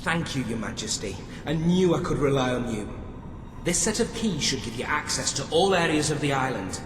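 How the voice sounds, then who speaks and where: An actor playing a dutiful, eager, slightly awkward prison guard in a video game, deferential and earnest. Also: male voice, medium pitch, animated, moderate pace, music in the background, close to an everyday voice. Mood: unsure, sheepish. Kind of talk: video game character acting. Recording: echoey hall with noisy ambience.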